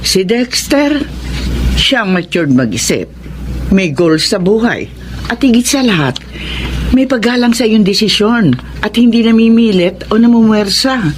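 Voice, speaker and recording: A woman voices a caring older Filipina mother in a radio melodrama. Her delivery is very animated and firm, rising and falling freely. Noticeable noise sits behind her. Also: mid-range voice, unhurried, close to an everyday voice. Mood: approving. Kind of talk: Filipino radio drama acting.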